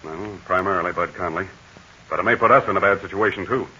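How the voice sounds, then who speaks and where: An actor playing a brave, steady aviator hero in a 1940s radio serial, talking in a calm, assured and commanding way. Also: male voice, low-pitched, quick pace, a little hiss or hum. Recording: dull lo-fi 1940 radio transcription.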